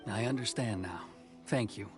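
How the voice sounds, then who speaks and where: A man voicing a dutiful, conflicted officer in a video game, measured, earnest and reserved, stern but secretly soft-hearted. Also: lowish voice, natural voice. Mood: reflective. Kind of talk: English video game voice acting. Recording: studio voice over faint music.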